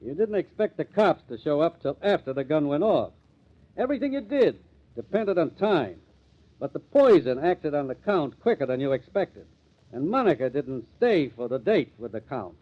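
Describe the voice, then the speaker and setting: An actor playing a sardonic, commanding police detective in a 1950s radio mystery. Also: male voice, a little high, big pitch swings, normal speed. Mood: sardonic, accusing. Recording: muffled, tinny old radio recording.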